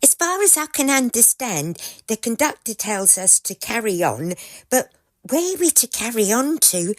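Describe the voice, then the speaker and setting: Woman playing a slow-spoken, lilting Victorian maid. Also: a little high, very animated, no room sound. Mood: deferential, flustered. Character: chatty, deferential Victorian lady's maid. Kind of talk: audio drama farce acting.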